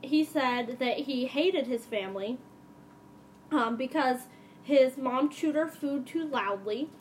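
A woman's voice: high voice, takes it slowly, slight background noise. A young adult woman hosting a podcast, telling a true crime story in a casual, conversational way.

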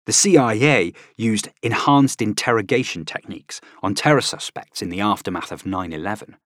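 A man's voice: lowish voice, moderate pace, fairly bright tone, no room sound, clean studio sound. A man reading scripted nonfiction as an audiobook narrator, with a dramatic, emphatic delivery.

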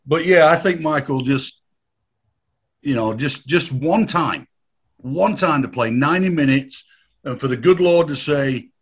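A man's voice: on the high side, measured pace, slightly rough, highs cut off. A man in his fifties, a former footballer, reminiscing in a rambling, nostalgic way with frequent restarts and laughs. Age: 50s. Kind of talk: casual reminiscing chat.

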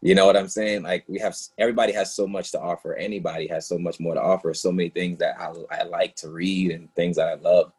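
A man's voice: low voice, level tone, quick pace, few pauses, a little mellow, husky. A man speaking as an actor in a casual, reflective discussion, earnest and rambling. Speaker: actor in a play reading, answering questions.

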